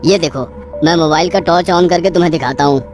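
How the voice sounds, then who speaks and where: A narrator voicing a father who soothes his young son and brushes off fears in an animated horror story. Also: mid-range voice, fast, soft background music, natural voice. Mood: reassuring.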